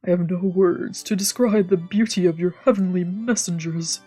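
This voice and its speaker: A reverent, humble man from a visual novel, as read aloud by a woman. The voice is mellow and a little husky, earnest and apologetic in manner, with faint music behind it. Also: on the low side, steady tone, normal speed. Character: humble, devout man praying reverently before a painting.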